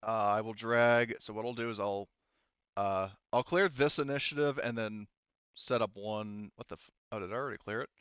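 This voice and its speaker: A low, smooth male voice, dry and slightly muffled. He is a game master talking through a tabletop session casually, pausing often as he works things out aloud. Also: steady tone, fairly bright tone, no room sound. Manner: halting, thinking aloud.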